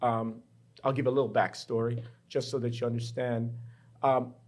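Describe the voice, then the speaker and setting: A man's voice: medium pitch, little pitch movement, moderate pace, darkish tone. A middle-aged man from the Bahamas, a professor and guest in an interview, telling his story in a reflective, personal way. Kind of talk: candid interview conversation. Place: university studio.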